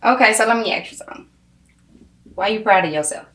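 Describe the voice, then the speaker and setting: A woman's voice: medium pitch, expressive, quick pace, fairly bright tone. A woman interviewing in a casual chat, blunt and emphatic, slangy and swearing freely. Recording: roomy, echoing sound.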